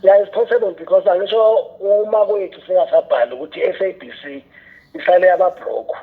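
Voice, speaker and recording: Through a thin, phone-like band comes a South African man with a slightly high voice. He talks fast as he explains his points as an interview guest, setting up questions and slipping English phrases into his speech. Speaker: radio drama scriptwriter and teacher, interview guest. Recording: tinny, narrow phone-like sound.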